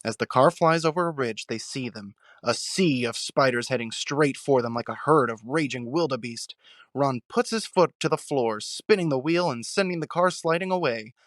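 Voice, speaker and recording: A man delivering dramatic scripted narration with suspenseful, deliberate phrasing. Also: mid-range voice, no room sound, studio quality.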